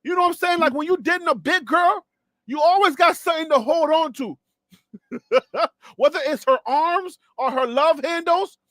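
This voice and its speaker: High-voiced joking man with a dry sound. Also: fairly bright tone, dry recording. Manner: rambling, joking, laughs often, talks to viewers. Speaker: sports show host. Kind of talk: casual solo sports talk with jokes.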